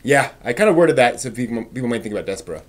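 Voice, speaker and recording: A man's voice: medium pitch, expressive, rapid-fire. Man hosting an informal news stream. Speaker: host of an anime news live stream. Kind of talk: informal news presenting with asides.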